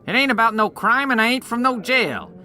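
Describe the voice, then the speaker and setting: An actor playing a flippant, callous state warden, glib and slangy, in a comedic radio play. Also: male voice, very high voice, big pitch swings, a little fast, sharp tone, slight background noise, heavily acted. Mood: brash. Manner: glib, slangy, mangles big words.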